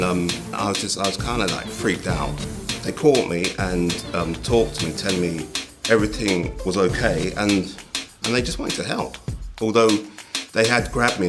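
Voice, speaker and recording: Guy talking about what happened to him, lots of um and like, bit low voice, music under. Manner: hesitant, halting, informal. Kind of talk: interview testimony.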